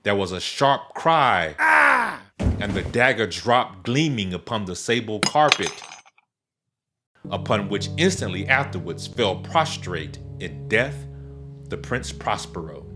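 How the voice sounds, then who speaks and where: Low-voiced, animated male gothic narrator over music. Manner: dramatic, deliberate phrasing. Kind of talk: scripted gothic horror narration. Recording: prominent music bed under narration.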